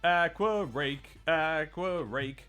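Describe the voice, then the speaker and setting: A man's voice: fairly high pitch, takes it slowly, sharp tone, soft background music. A man streaming a video game late in the day, sleepy but chatty, laughing and retelling scenes from old TV. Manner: chatty, laughing, tells anecdotes with put-on quotes. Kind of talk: casual live-stream chat.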